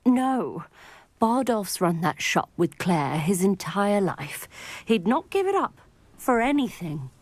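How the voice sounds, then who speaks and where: An actress playing a chatty, worried, widowed older village woman in a fantasy video game, with a British accent and expressive, folksy phrasing. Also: mid-range voice, takes it slowly, no echo. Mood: worried, gossipy. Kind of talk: video game character dialogue.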